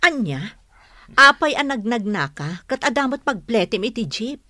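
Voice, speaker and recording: A woman's voice: a little high, big pitch swings, normal speed, pushed and bright, dry recording. An anxious, caring woman, dry sound. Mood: concerned, questioning. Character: anxious, caring woman fretting over loved ones. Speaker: radio drama actor. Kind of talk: Ilocano radio drama acting.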